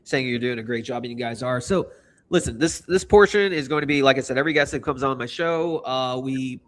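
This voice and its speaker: A man's voice: mid-range voice, a little fast. A man hosting a casual livestream, talking in a hyped, rambling, slangy way. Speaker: host.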